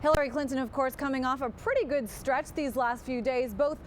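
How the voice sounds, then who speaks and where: A woman's voice: sits high, expressive, a little fast, a little hiss or hum. A woman working as a live television news reporter, delivering a field report.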